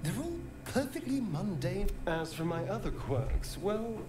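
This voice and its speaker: A man's voice: sits high, big pitch swings, a little slow. A man playing a suave, wry vampire spawn in a video game, with a coy, wheedling, theatrical manner. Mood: wry, airy. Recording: roomy game audio with ambient noise.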